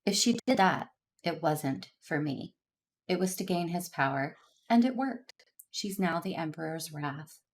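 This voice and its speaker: An actress playing a stern, wounded and suspicious Jedi master in a science fiction audio drama. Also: female voice, low-pitched, lively intonation, a little slow. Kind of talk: audio drama narration and dialogue.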